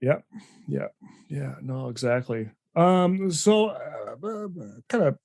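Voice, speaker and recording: A man's voice: on the high side, expressive, slow pace, creaky, darkish tone, no room sound, clean studio sound. A man hosting a podcast interview, talking casually in a rambling way with many restarts and fillers. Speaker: podcast host.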